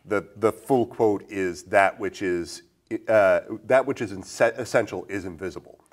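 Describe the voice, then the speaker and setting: A man with a lowish voice hosting a casual film discussion, thinking aloud as he frames probing questions. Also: unhurried. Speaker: podcast host.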